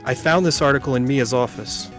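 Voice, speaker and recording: A man's voice: mid-range voice, brisk, close to an everyday voice. A man voicing an earnest, dogged young defense lawyer in an amateur video game dub. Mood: accusing, forceful. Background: game music.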